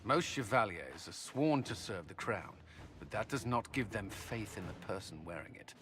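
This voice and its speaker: An actor playing a serious, matter-of-fact military commander in a video game, crisp and businesslike. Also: male voice, on the low side, lively intonation, normal speed, music in the background, close to an everyday voice. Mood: serious briefing.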